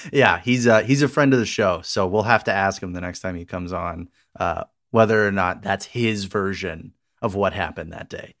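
Low-voiced man hosting casually. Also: normal speed, a bit bright. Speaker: podcast host.

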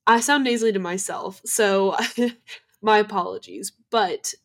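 A woman's voice: mid-range voice, steady tone, a little slow. Woman with a cold-stuffed nasal voice. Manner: chatty, many "like" fillers and restarts. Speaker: podcast co-host. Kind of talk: casual chat about ghost stories.

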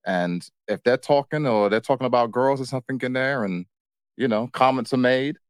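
A man's voice: mid-range voice, fairly quick, smooth, no echo, clean studio sound. A man in casual, informal relationship banter, teasing and repeating phrases for emphasis as a podcast co-host.

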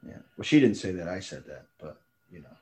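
A young man co-hosting a podcast, telling rambling stories full of fillers and deadpan jokes. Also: deep voice, brisk. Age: young adult. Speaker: podcast co-host. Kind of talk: casual chat.

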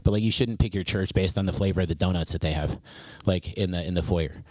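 A man's voice: low voice, fast, darkish tone, no room sound. A man, a missionary guest, chatting casually on a podcast, rambling with frequent restarts and easy laughs. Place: lives in Thailand eight years.

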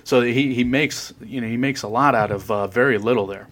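A man's voice: mid-range voice, a little fast, a bit bright. Chatty man rambling about a film. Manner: rambling, self-deprecating, laughs at own jokes. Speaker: podcast guest. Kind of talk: casual film review chat.

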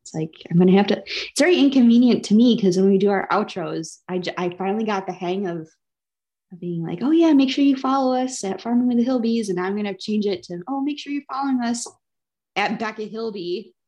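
A woman with a medium-pitched voice co-hosts a chatty podcast. Her delivery is informal and conversational, with a playful, teasing way of talking. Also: lively intonation, brisk, warm tone. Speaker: podcast co-host. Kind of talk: casual chat.

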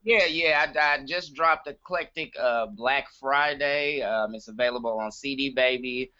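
A man being interviewed as a musician guest on an online call, relaxed and friendly. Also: medium pitch, a little slow, no room sound. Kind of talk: casual interview over a video call. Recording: muffled online call audio.

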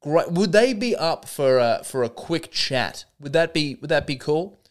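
A man's voice: a little high, expressive, unhurried. A man hosts his own comedy podcast solo. His voice sits in a middle register but swoops up and down a lot as he jokes. He rambles with dry sarcasm and mocks himself along the way. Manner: sarcastic, rambling, self-deprecating.

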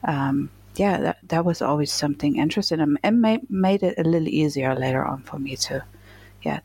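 Female guest, middle-aged, German-accented, husky and unhurried, casual storyteller, close dry sound. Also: low-pitched, darkish tone, no room sound. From eastern Germany. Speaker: interview guest, nurse.

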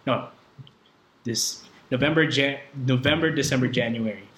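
A man's voice: mid-range voice, moderate pace, fairly bright tone. A man talking casually and jokingly as a podcast guest, in informal, slangy speech. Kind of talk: casual conversation. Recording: echoey room with faint background noise.

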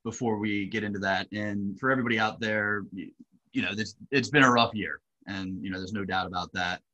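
A male interview host with a low voice, hesitant and full of fillers as he frames his question. His audio is dull and lo-fi. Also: fairly even intonation, fairly quick, bright, forceful tone.

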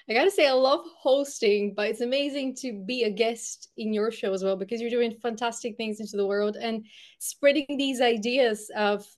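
A woman from Bulgaria speaking English, a podcast guest earnestly reflecting on her childhood and schooling. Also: a little high. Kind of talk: reflective storytelling.

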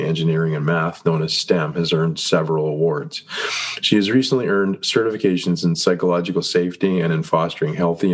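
Deep-voiced male podcast host. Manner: rambling questions with restarts. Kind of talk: reads a guest bio, then casual interview questions.

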